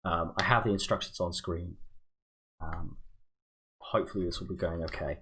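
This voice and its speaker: A man's voice: sits low, fairly even intonation, moderate pace, bright, forceful tone, slightly rough. A young man from the United States and Britain co-hosts a casual livestream, talking himself through what he is doing. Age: young adult. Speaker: co-host.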